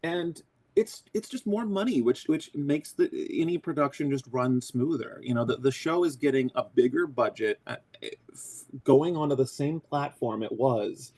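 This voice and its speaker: A man who voices a cartoon character, talking in a casual interview, excitable and crude, joking and restarting often. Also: mid-range voice, moderate pace. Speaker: voice actor guest.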